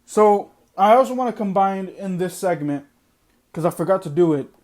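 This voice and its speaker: A man with a high voice hosts a sports podcast and rants bluntly, hammering his points home by repeating them. His voice is going hoarse because he is losing it. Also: expressive, normal speed, darkish tone. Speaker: podcast host. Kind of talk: opinionated sports podcast monologue.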